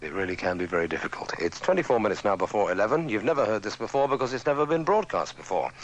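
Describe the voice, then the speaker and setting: Low, animated man presenting music radio. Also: quick pace. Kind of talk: live radio DJ links.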